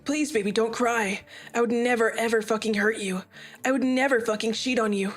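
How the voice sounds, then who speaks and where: A woman's voice: on the high side, steady tone, brisk, close to an everyday voice. A woman reading a fierce, possessive young male hero aloud on a stream, snarling and swearing as she goes. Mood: tender.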